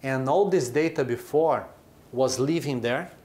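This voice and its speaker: A man's voice: mid-range voice, a little slow, a little mellow. A man in his forties from Brazil, a company chief explaining his business in an interview, with short emphatic phrases. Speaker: chief executive of a brewing company.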